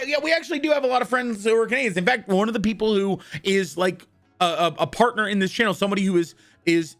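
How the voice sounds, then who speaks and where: Man, husky hoarse voice, rambling streamer, lively swooping pitch, faint music behind. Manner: rambling, loud shouted greetings to viewers. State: hoarse, worn-out voice. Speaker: live streamer. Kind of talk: casual live-stream chat with viewers.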